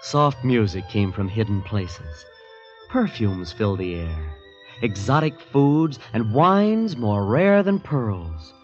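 A man's voice: lowish voice, sing-song, slow, darkish tone, faint music behind. An actor playing an earnest, wide-eyed young American visitor who marvels and narrates his tale in a vintage radio drama. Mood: awed narration. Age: young adult. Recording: muffled vintage radio recording.

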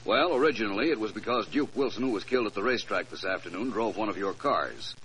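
An actor playing a composed, urbane, shrewd private detective in a 1940s radio drama. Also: male voice, fairly low pitch, animated, fast, fairly bright tone. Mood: cool, probing. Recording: noisy old radio recording.

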